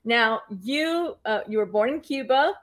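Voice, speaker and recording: A woman's voice: on the high side, lively intonation, measured pace, crowd noise. A woman hosting an online interview, hesitant and full of fillers and restarts. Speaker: host.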